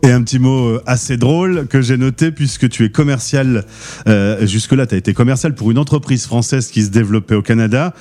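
Easygoing male podcast host, mellow tone. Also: mid-range voice, fairly quick, faint music behind. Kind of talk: conversational interview questions.

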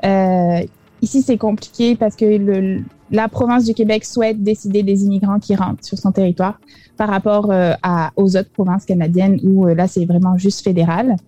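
A young woman from France speaks with a French accent softened by years in Quebec. Her voice is warm and mellow, recorded dry and close. She talks hesitantly, pausing and restarting often. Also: medium pitch, fairly even intonation, dry recording, soft background music. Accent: French with Quebec influence. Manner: hesitant, many fillers and restarts. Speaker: guest. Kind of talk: interview.

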